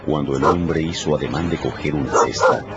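A man's voice: rumbling low, fairly quick. A man narrating a radio novel in a dramatic, scripted storytelling style. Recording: muffled radio production with music underneath.

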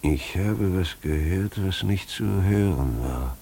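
A man's voice: sits low, animated, takes it slowly, noisy background, full character voice. An actor playing a brooding middle-aged farmer and father in a German radio play, ponderous, uneasy and searching. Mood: entranced, resolute.

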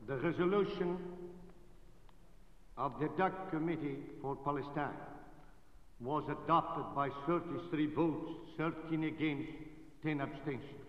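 A male assembly chairman slowly announcing a vote result in a large hall, in an old archival recording. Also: a little high. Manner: slow, deliberate official announcing. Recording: distant lo-fi 1947 archive recording in an echoing hall.

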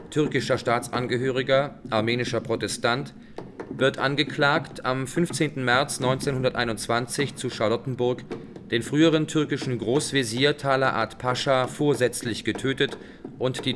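An actor playing an impersonal court clerk in a radio drama, reading out formally and deliberately. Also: male voice, medium pitch, background noise, close to an everyday voice. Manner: official, deliberate, enumerating.

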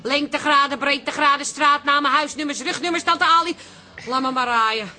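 An actress playing a suspicious, sharp-tongued café landlady with a Dutch accent in a comic radio play, sarcastic and interrogating. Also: high-pitched, fairly even intonation, normal speed, soft background music. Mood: exasperated.

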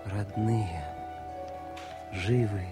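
Slow-spoken war correspondent, over music. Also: male voice, lowish voice, steady tone. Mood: tender, lyrical. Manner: restrained, lyrical, measured. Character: quiet, thoughtful male war correspondent and poet. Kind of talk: Soviet radio play acting with verse recitation. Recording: music mixed under the voice.

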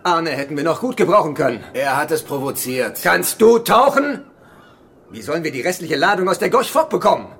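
A man's voice: a little high, very animated, a little fast, fairly bright tone. A man playing a ruthless gang leader, calculating and casually menacing, in a German radio drama. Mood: cold menace.

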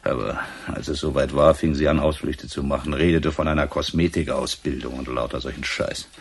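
An actor playing a gruff, disillusioned provincial police inspector in a radio crime drama, terse and colloquial. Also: male voice, rumbling low, steady tone, a little fast, slight background noise. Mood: bitter, sardonic.

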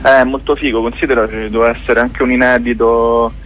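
A man's voice: medium pitch, little pitch movement, fairly quick, fairly bright tone, dull audio. A man with a Roman accent chatting casually as a guest director in a radio interview, sarcastic, slangy and sweary. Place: radio studio.

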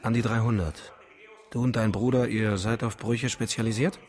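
A brash ex-convict gang leader acted by a man talks business in a mid-pitched voice. He is terse, cocky and street-tough, in the manner of a crime radio play. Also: steady tone, moderate pace, a little mellow, close to an everyday voice. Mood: businesslike. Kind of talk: German radio drama acting.

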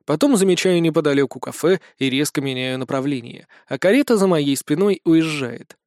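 Audiobook narrator, male, notably high voice, expressive first-person storytelling, dry close recording. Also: fairly quick, no room sound.

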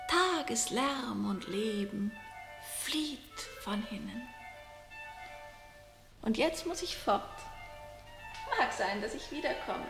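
An actress playing a mysterious, knowing fortune-teller in a vintage German radio play, declaiming poetically with hushed, breathy drops. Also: female voice, fairly high pitch, lively intonation, slow pace, pauses often, over music, exaggerated voice. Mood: incantatory. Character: mysterious fortune-teller woman casting spells, solemn and knowing. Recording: vintage 1960 radio drama recording.

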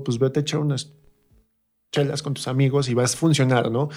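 Mexican man in casual, reflective conversation. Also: mid-range voice. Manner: informal, colloquial, reflective. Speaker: interview guest, stage actor.

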